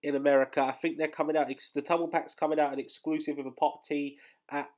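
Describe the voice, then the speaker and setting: Listening in, you hear a young man who sounds bunged up and under the weather, his voice sitting a bit high for a man. He chats and rambles in a loose vlog voice-over, filling gaps with lots of ums and uhs. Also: flat delivery, quick pace, darkish tone. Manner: chatty and rambling, many fillers. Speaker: collectibles channel host. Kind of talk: casual news vlog voice-over.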